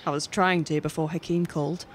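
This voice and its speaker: A woman's voice: deep voice, steady tone, fairly quick, slight background noise, close to an everyday voice. A woman playing a polite, put-upon young woman in an audio drama, a little hesitant. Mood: subdued, grateful. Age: young adult. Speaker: actor in a fiction podcast. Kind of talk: naturalistic audio drama dialogue.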